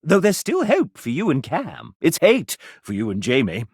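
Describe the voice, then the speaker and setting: Video game role: theatrical, gushing dating-show host, hugely animated delivery, close dry studio sound. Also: male voice, on the high side, moderate pace, husky, no echo, full character voice. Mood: dramatic verdicts. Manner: showy, arch announcer delivery with dramatic swoops. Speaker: game host character announcing dating results.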